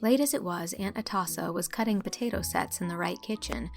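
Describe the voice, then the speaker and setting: A woman's voice: medium pitch, a little fast, gravelly, faint music behind. A woman narrator reading a scripted storybook in an audiobook style.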